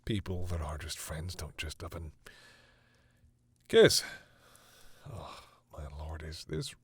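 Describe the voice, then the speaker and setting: A man playing a flustered, protective vampire who is smitten with a human, speaking haltingly and intimately to the listener in an audio roleplay. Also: a bass voice, slightly rough, dry recording, full character voice. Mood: flustered, disbelieving. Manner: halting, stammering, bashful.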